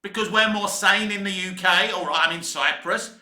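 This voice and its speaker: High-pitched, animated British man ranting. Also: moderate pace. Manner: emphatic, exasperated, swearing mildly, repetitive. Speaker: film vlogger. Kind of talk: opinionated rant to camera, casual. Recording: echoey, reverberant room.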